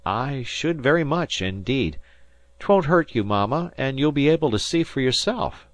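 A man voicing a thoughtful, shrewd and indulgent father in a measured, decisive way for a storybook. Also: mid-range voice, lively intonation, no echo, pitched above the performer's own voice, natural voice. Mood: assured.

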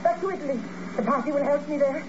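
An actress playing a cold, scheming foreign princess in a 1950s radio drama, theatrical, haughty and formal. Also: female voice, high-pitched, fast talker, natural voice. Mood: panicked. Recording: noisy muffled old radio recording.

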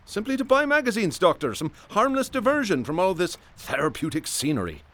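A man's voice: sits high, very animated, a little fast, fairly bright tone. An actor in a radio drama playing a troubled former policeman, hesitant, sardonic and evasive as a patient. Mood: defensive, wry.

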